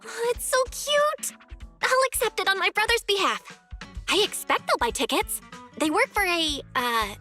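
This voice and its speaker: A woman's voice: extremely high pitch, moderate pace, heavily acted. A woman voicing a friendly, earnest young female video store manager in an anime-style game, speaking expressively and conversationally. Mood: upbeat, delighted. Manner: expressive, animated, conversational delivery. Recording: background music under the voice.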